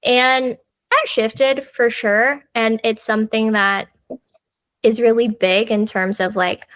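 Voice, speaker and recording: A woman's voice: fairly high pitch, fairly even intonation, slow, fairly bright tone, dry recording. A woman, a podcast guest talking casually with lots of fillers. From United States. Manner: chatty, lots of fillers like "like" and "you know". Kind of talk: casual conversational interview.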